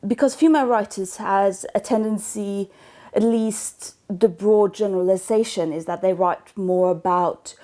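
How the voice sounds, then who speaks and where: A woman's voice: mid-range voice, dark tone. A woman from Norway, a native Norwegian speaker, talking to camera as a book blogger in a thoughtful, hesitant way as she searches for words. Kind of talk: casual vlog talk to camera.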